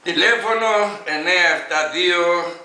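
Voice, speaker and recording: Man in his 80s, piercing bright voice, emphatic oratory, reading a letter aloud, echoey room. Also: high voice. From Greece.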